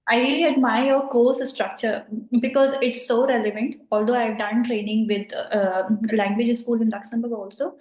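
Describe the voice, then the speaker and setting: A woman hosting an interview podcast, asking questions in an earnest, halting way with fillers. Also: fairly high pitch, steady tone, darkish tone. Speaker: podcast host. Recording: echoey room.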